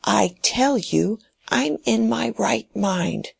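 A stubborn dying old man, put on by a woman reading aloud, his voice shaking as he orders and pleads. The voice sits higher and louder than her narration, gravelly and breathy, close and dry with no room around it. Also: animated, takes it slowly, no echo, heavily acted. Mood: agitated.

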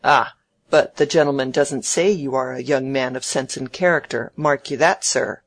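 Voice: medium pitch, normal speed, lightly acted. A narrator voicing a contemptuous, miserly old man in an audiobook, curt and emphatic. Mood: scornful, indignant. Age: elderly. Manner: curt, emphatic, sneering, barking orders. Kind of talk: dramatised audiobook character.

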